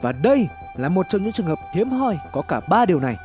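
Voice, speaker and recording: A man's voice: high-pitched, sing-song, fast talker, a little mellow. A man reads scripted horror story narration in a dramatic, suspenseful storytelling manner. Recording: music behind the voice. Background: background music.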